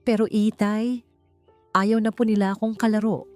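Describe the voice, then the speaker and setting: Hurt young Filipino child played by a female narrator, pleading, mellow tone, audiobook drama with music. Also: medium pitch, little pitch movement, normal speed, pitched above the performer's own voice, lightly acted. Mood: tearful pleading.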